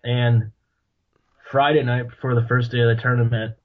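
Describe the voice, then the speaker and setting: A man's voice: mid-range voice, flat delivery, normal speed. A man in his twenties co-hosting a casual chat, laid-back and dryly joking. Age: 20s. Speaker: podcast co-host. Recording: dull lo-fi audio.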